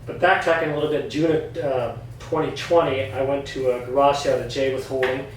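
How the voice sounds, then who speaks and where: A man's voice: medium pitch, fairly even intonation, brisk, a little hiss or hum. A middle-aged man, a retired Army veteran, telling his story in an earnest, candid way as a podcast guest. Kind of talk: casual personal story. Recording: echoey room, mic at a distance.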